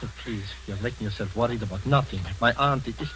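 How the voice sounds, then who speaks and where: A man's voice: mid-range voice, quick pace, a bit bright, natural voice, poor audio. An actor playing a timid, stammering nephew who obeys his domineering aunt, in 1940s radio-drama style. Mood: nervous. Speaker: Carl, the nephew. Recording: hissy old broadcast recording.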